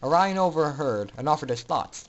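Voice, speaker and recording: A man's voice: fairly high pitch, expressive, normal speed, low-quality recording. A man narrating a fan fiction story in a dramatic reading style.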